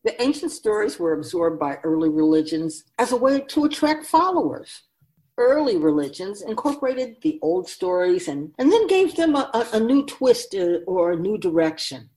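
A woman playing a wise, teasing, ageless female spirit guide in a radio drama, speaking in a measured, knowing way. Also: medium pitch, very animated, darkish tone, close to an everyday voice, low-quality recording. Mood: earnest, instructive. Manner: measured, knowing, rhetorical questioning.

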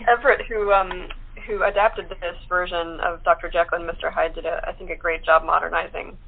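A woman's voice: on the low side, flat delivery, a little fast, vocal fry, breathy edge. A woman speaking casually as an actress guest in an interview. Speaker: radio play actress as guest. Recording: tinny, narrow phone-line sound.

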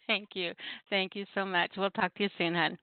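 A woman's voice: mid-range voice, steady tone, normal speed, clean tone, no echo. A middle-aged woman hosting a call-in poetry radio show, chatting casually with playful, self-deprecating banter and storytelling. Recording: muffled, dry radio call-in line.

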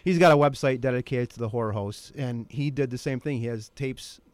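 Male interview guest, middle-aged, casual and slightly halting delivery, close dry studio sound. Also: medium pitch, dry recording. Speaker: interview guest, documentary maker. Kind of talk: casual radio chat.

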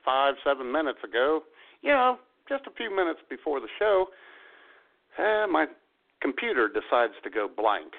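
Male comedy radio co-host, casual banter, folksy and exasperated, very animated intonation, narrow phone-like audio. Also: fairly high pitch, normal speed, gravelly.

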